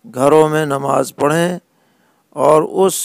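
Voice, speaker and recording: Slow, measured man with creaky voice. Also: fairly high pitch, fairly even intonation, no echo. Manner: measured, deliberate, pausing mid-sentence. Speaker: Islamic scholar (mufti). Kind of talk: religious question-and-answer lecture in plain rulings.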